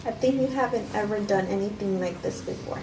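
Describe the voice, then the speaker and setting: A woman's voice: mid-range voice, brisk, close to an everyday voice. A non-actor woman cold-reading the part of a wary, understated woman in a script table read. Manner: hesitant, understated. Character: quiet, wary woman hosting a killer. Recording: distant, echoey room with clear noise.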